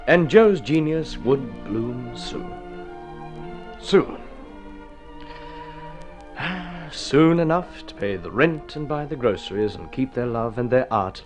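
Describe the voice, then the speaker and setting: An Australian actor playing an urbane storyteller, narrating a mid-century radio drama in a measured, gently ironic way. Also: male voice, medium pitch, lightly acted. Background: faint music.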